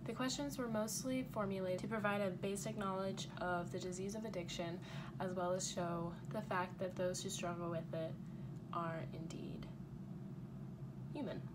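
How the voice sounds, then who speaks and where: A woman's voice: on the low side, fairly even intonation, moderate pace. A young American woman reading scripted documentary narration and interview questions. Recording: noticeable background noise.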